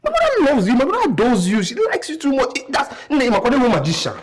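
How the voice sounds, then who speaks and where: A man playing a smitten, flattering young Nigerian man. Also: extremely high pitch, moderate pace, slight background noise. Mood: doting. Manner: teasing, exclamatory, mixes in local words. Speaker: actor. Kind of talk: comedy skit acting.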